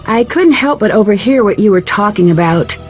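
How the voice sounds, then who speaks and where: A woman's voice: medium pitch, animated, fairly quick, darkish tone, faint music behind, lightly acted. An actress voicing an inquisitive, composed young female detective in a video game, asking direct, probing questions. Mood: curious.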